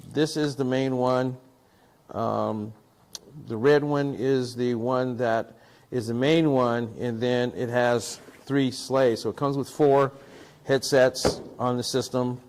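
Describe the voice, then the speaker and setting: A man's voice: medium pitch, a little mellow. A man hosting a tech review show, talking casually and in a rambling way, often restarting and repeating himself as he unboxes gear. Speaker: audio and video production technician. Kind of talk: casual product unboxing talk.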